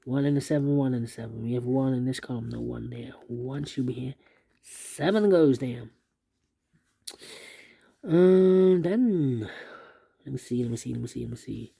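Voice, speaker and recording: A man with a dark, gravelly voice teaches a puzzle solve at his desk. He thinks aloud in a steady, methodical way, repeating pairs of numbers under his breath as he goes. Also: medium pitch, moderate pace, pauses often. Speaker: sudoku instructor.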